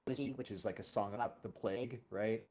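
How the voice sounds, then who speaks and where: A man with a fairly low voice talks casually as a show host. He speaks haltingly, doubling back on words and dropping in "you know" as he thinks aloud. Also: a little mellow. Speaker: series creator and show host. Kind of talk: casual conversation about a fiction series.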